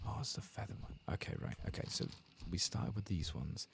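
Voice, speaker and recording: Male ASMR game streamer: deep, heavily creaky, hushed near-whisper commentary over clearly noisy ambience. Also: expressive, fairly quick. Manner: hushed, often drifting into whisper. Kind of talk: soft-spoken ASMR gameplay commentary.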